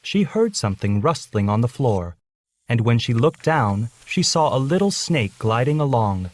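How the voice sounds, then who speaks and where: A man's voice: mid-range voice, sing-song, normal speed. A man reading a scripted children's story as its narrator.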